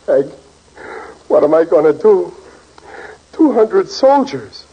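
A man's voice: sits high, very animated, takes it slowly, husky, exaggerated voice. A man playing an exasperated working-class American family man in a 1940s radio comedy, stammering and griping. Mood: panicked. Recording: noisy, muffled 1940s radio recording.

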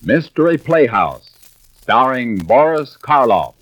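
A man announcing in a formal, measured 1940s radio style. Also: medium pitch, big pitch swings, a bit bright, slight background noise, low-quality recording. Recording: old radio broadcast with music behind.

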